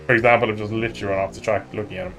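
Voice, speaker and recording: A man's voice: fairly low pitch, little pitch movement, rapid-fire, a bit bright, faint music behind. A man with an Irish accent chatting casually and joking while streaming a motorbike racing game. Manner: joking, rambling, self-mocking. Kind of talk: casual chat while gaming.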